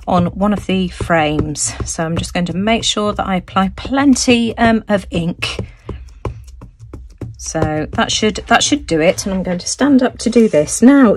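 A woman hosting a crafting video, explaining each step with plenty of ums, her voice a little croaky. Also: mid-range voice, slight background noise. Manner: frequent ums, friendly explaining. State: slightly croaky voice. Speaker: crafting channel host. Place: craft table, indoors.

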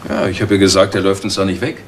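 A man's voice: low-pitched, little pitch movement, very fast, natural voice. A man acting a matter-of-fact police detective in a German crime radio drama, speaking tersely and in a businesslike way. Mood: urgent. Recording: noisy retro radio play recording.

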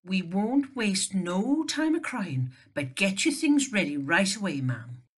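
Actress as a slow, husky old-fashioned housemaid; lively pitch, rough grammar, homely manner. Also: female voice. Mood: urging. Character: plain-spoken servant woman, Hannah.

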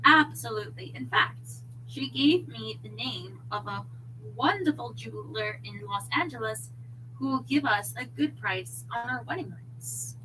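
A woman voicing a suave, smooth-talking British man with a velvety, purring delivery in an audiobook story. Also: a little high, measured pace, noisy background, natural voice. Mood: smooth, amused.

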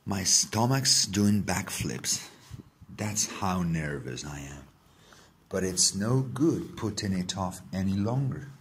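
A man reading a children's story aloud in the first person, with deliberate, dramatic phrasing. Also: deep voice, animated, fairly bright tone, slight background noise. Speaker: story reader.

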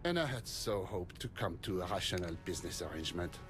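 A man's voice: low-pitched, lively intonation, fast talker, loud music behind the voice. An actor playing a polite, condescending older crime syndicate chairman, in a video game cutscene. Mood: cool, aggrieved.

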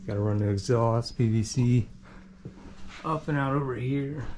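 A man's voice: medium pitch, measured pace, a little mellow. A man in his twenties with an American accent, giving offhand, slangy commentary while working, on a noisy handheld camera. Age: 20s. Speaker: HVAC installer vlogging. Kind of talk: casual on-the-job commentary. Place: indoor basement job site.

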